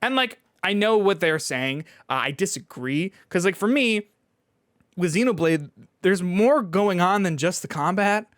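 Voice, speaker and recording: A man co-hosting a podcast, chatting casually about video games in a halting way, often restarting his thoughts. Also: high voice, expressive, a bit bright, dry recording, clean studio sound. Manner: halting, filler-heavy, starts and restarts thoughts. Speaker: podcast co-host and games reviewer. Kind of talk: casual video game chat.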